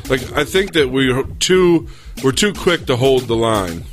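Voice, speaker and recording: A man in a casual debate among friends, making his case earnestly and haltingly as he thinks aloud. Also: medium pitch, lively intonation, over music.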